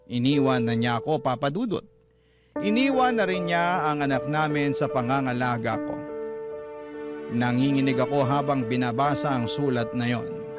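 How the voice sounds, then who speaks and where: A man's voice: fairly high pitch, natural voice. A man narrating as a remorseful young father, confessing his story earnestly in a radio drama. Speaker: letter sender narrating. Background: music.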